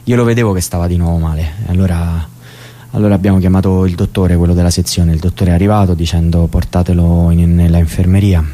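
A man acting a prison cellmate giving a calm, plain testimony in a radio drama. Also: low-pitched, quick pace, natural voice. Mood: somber. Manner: plain testimony, recounting events calmly. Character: grieving male prison cellmate, young adult, earnest.

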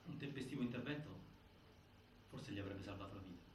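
An actor playing a grave narrator of a prison death inquiry, reciting findings with emphatic delivery and halting pauses in theatrical documentary style. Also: male voice, on the low side, close to an everyday voice. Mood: grave, insistent. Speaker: stage actor narrating an investigation. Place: indoor stage, picked up from afar. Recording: distant, echoey, noisy theatre recording.

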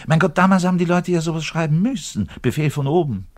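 An actor playing a frustrated, self-pitying orchestra double bassist in a radio monologue, with a southern German accent and a colloquial, ranting manner. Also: male voice, quick pace, darkish tone, close to an everyday voice. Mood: exasperated complaining. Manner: ranting, colloquial, swinging from outbursts to muttered resignation. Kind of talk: German radio play monologue, addressed to the listener.

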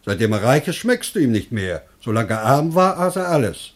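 Sardonic old master of the house, acted by a man, teasing, very lively intonation, classical radio comedy. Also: mid-range voice, brisk, close to an everyday voice. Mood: mocking. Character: dry, mocking old householder.

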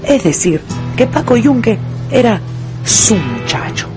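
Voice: mid-range voice, big pitch swings, unhurried, music in the background. A narrator delivering a scripted, dramatic story for a radio play.

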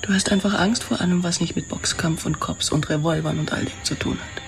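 Low-voiced, bitter noir woman. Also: steady tone, a little fast, gravelly. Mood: wounded, challenging. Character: smoky, bitter, yearning woman in a noir drama. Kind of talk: radio drama acting. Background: music behind the voice.

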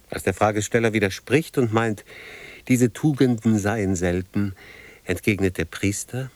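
An actor playing a weary, brooding older man in a radio drama, speaking gravely in halting fragments. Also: low voice, dry recording, full character voice.

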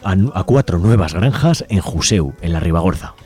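Deep-voiced, mellow radio host. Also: male voice, lively intonation, moderate pace. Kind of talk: community radio program presenting. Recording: faint music bed behind.

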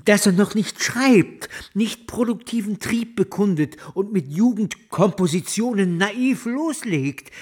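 An earnest music mentor in a literary radio play. He stutters heavily and pushes on emphatically through formal sentences. The voice is husky and the pace is markedly slow. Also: sits high, full character voice. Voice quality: heavy stutter blocking on M sounds. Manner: emphatic, formal, halting delivery. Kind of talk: German literary radio drama acting.

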